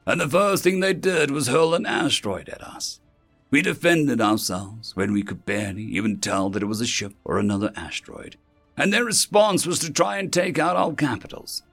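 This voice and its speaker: A man acting out a solemn, embittered ambassador in an audio story. The voice is creaky and swings widely in pitch, drawing out words with heavy emphasis over music. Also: animated, a bit bright. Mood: bitter, grave. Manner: emphatic, drawn-out, solemn delivery. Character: grave, wounded human ambassador recounting a catastrophe.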